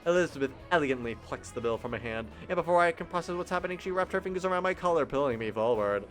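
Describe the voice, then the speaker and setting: A young man reading a visual novel aloud on stream, animated and giggly, with joking asides. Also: a little high, fast talker, faint music behind. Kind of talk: casual game narration.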